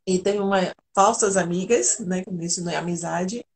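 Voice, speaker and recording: Gravelly-voiced woman telling her story. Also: medium pitch, a little fast. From Argentina. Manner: earnest, candid storytelling. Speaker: guest, integrative therapist. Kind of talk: personal story in a live interview.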